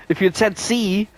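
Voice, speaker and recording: A man's voice: sits high, low-quality recording. A man co-hosting a podcast in casual chat, exclaiming and swearing freely. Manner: foul-mouthed, exclamatory. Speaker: podcast co-host.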